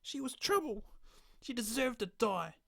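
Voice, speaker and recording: A man voicing a brooding male drifter and killer who speaks curtly and later shouts frantically. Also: very high-pitched, animated, a little slow, creaky, voice pushed up, full character voice. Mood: frantic. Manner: curt and tense, rising to frantic shouting.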